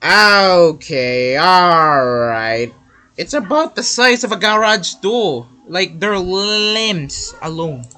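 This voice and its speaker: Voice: mid-range voice, animated, slow pace, bright, forceful tone. Someone reacting off the cuff to a video, musing aloud in short casual remarks.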